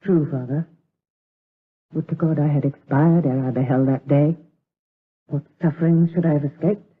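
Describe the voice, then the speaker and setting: An anguished young novice monk in gothic radio drama. His fairly high voice is husky and pleading, with formal, devoted fervor. The sound is thin and narrow-band. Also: steady tone, normal speed. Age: young adult.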